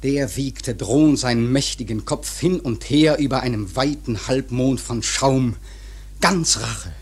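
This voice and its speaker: Radio drama narrator: grave seafaring storyteller, husky, slow and measured, literary delivery. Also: male voice, medium pitch. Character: grave seafaring storyteller recounting a whaling disaster. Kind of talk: dramatic scripted narration in German radio play.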